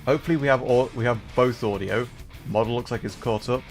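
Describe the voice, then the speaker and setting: A man hosts a livestream in a casual, chatty style. His voice sits at an average male pitch, with a wry and self-deprecating delivery. Music plays in the background as he talks. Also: quick pace. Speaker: livestream host, gamer.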